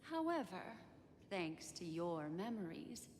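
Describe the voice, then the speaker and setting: A woman voice actor playing a poised, mysterious memory keeper in a video game, calm and courteous. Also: on the low side, takes it slowly, slight background noise. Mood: composed, explanatory. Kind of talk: scripted video game voice acting. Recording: reverberant game audio over music.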